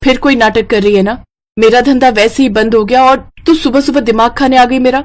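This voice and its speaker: A woman voicing a sharp-tongued, practical elder sister in a children's cartoon story. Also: on the high side, steady tone, brisk, pitched above the performer's own voice, lightly acted. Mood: annoyed. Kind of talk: animated children's story dialogue.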